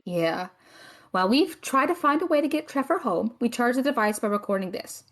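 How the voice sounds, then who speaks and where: A woman's voice: fairly high pitch, expressive, a little fast, a bit bright. A woman playing a practical, exasperated young female student with a skeptical, dry manner in an audio drama. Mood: reluctant, dry.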